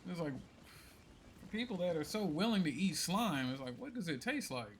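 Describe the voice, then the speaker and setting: A man's voice: fairly high pitch, sing-song, moderate pace, heavy background noise. A man streaming a video game with casual, slangy commentary, musing aloud playfully. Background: game music.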